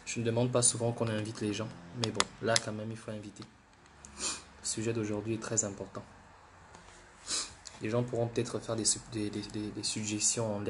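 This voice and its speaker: A man's voice: on the low side, a little hiss or hum. A man who has the flu, hosting a political livestream, repeating himself, restarting words and urging viewers to share. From Gabon. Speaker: livestream host.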